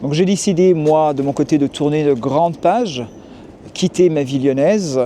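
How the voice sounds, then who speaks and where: A man in his forties from France, calmly explaining things in a casual outdoor vlog, with frequent hesitations. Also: a little high, moderate pace, slight background noise. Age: 40s. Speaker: YouTuber and tour guide. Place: city street outdoors.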